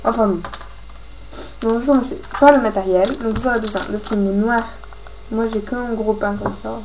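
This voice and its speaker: A woman with a cold gives a casual, halting hands-on craft tutorial, thinking aloud as she works. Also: fairly high pitch, fairly even intonation. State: has a cold, coughing. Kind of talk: casual hands-on tutorial, talking while working. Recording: clearly noisy homemade recording with clicks.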